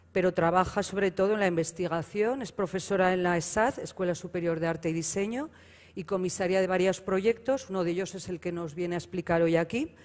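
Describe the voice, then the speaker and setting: A woman's voice: on the low side, darkish tone. A middle-aged woman moderating a conference panel, listing common points and introducing a speaker, with brief self-corrections. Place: large hall on stage. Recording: long reverb in big hall.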